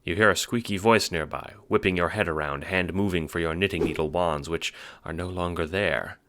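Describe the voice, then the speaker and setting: A man's voice: low voice, fairly even intonation, normal speed, background noise. A man narrating an audio drama in dramatic, scripted second-person storytelling.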